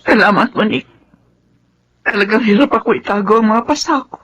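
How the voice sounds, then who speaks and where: A woman's voice: medium pitch, fairly quick, fairly bright tone, breathy edge. A woman with a Filipino accent acting as a middle-aged, long-suffering wife and mother in a radio drama, speaking earnestly and emotively. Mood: weary, sorrowful.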